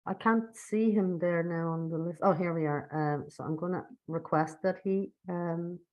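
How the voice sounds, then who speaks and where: A woman's voice: lowish voice, steady tone, moderate pace, lo-fi sound. A woman informally moderating an online talk, practical and thinking aloud as she goes. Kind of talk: informal event hosting. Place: online meeting. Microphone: video call.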